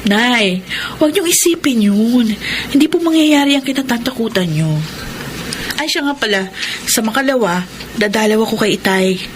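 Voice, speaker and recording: An actress playing a dutiful, protective young eldest daughter in a Filipino radio drama, speaking in an earnest, respectful way. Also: female voice, on the high side, expressive, normal speed, lightly acted. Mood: earnest, reassuring. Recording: noisy radio drama audio.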